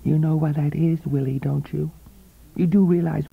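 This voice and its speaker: A woman's voice: low voice, fairly even intonation, normal speed, gravelly, breathy edge, no echo, phone-quality sound, pitched above the performer's own voice, heavily acted. A man voicing a sweet, knowing young mistress in an audiobook story, full of insinuating, cooing concern. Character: young sweet-voiced mistress, silvery, knowing, falsely sympathetic.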